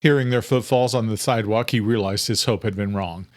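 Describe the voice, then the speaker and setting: A man's voice: on the low side, fairly quick, darkish tone, dry recording, clean studio sound. A man reading scripted narration from a thriller audiobook as its narrator.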